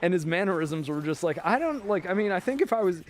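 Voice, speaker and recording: A man with a slightly high voice and very lively intonation rambles casually to stream viewers. He is joking and loose, sometimes trailing off into muttered asides. There is some background noise in the recording. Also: fast talker. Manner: animated, joking, often breaking into chuckles. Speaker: livestream host.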